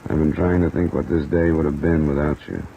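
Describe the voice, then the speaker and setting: Deep-voiced hard-boiled American radio detective. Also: male voice, fairly even intonation, a little fast, gravelly, warm tone, lo-fi sound. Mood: weary, intimate. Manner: terse, hard-boiled delivery. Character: tough, weary male insurance investigator narrating his case. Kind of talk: 1950s American detective radio drama acting. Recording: old radio recording under rain noise.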